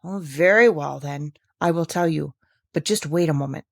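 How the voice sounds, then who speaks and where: A woman's voice: deep voice, normal speed, vocal fry, no echo, studio quality. A woman voicing a self-interested, knowing young village woman in a storybook, firm and matter-of-fact. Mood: guarded. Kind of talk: storybook character reading.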